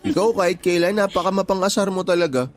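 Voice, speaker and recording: Actor as an earnest young man, higher-pitched. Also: fast, natural voice. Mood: eager. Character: earnest, friendly young male fast-food coworker. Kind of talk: Filipino radio drama dialogue.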